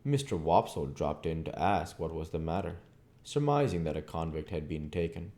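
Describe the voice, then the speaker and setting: Very deep, mellow-voiced male reader. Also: very animated, moderate pace. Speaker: audiobook reader, channel host. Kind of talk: scripted narration of a classic novel.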